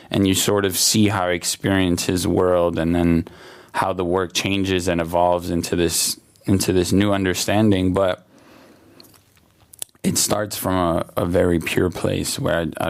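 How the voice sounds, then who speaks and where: A young man in a very low, gravelly voice. He talks casually in an interview, stopping and restarting often and leaning on fillers. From Germany, raised in the USA. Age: late 20s. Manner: hesitant, many fillers and restarts. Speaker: artist, guest. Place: exhibition hall.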